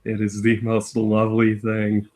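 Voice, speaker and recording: A man's voice: fairly low pitch, completely flat delivery, a little slow, darkish tone. A man co-hosting a live stream in casual chat, chiming in with short, jokey reactions.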